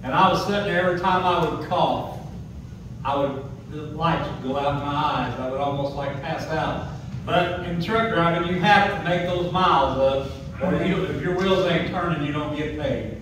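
A man's voice: on the high side, normal speed. An older man, a truck driver, telling his testimony from a church pew, starting plain and swelling into fervent proclamation. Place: small wood-paneled church, seated in a pew. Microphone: far phone camera. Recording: echoey room from far off, noisy.

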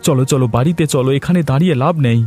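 A man's voice: mid-range voice, racing, a little mellow, close to an everyday voice. A man voicing a deferential younger brother, a village farmer, in a cartoon, with music behind him. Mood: worried. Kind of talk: cartoon dialogue acting. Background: background music.

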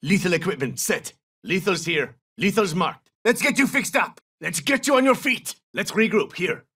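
A confident, battle-hardened male special forces soldier from a shooter game, snapping out terse tactical callouts. The voice is high for a man, with big pitch swings and a hard, forceful edge. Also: animated, normal speed, husky, recorded from a distance, full character voice. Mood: focused. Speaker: video game voice actor.

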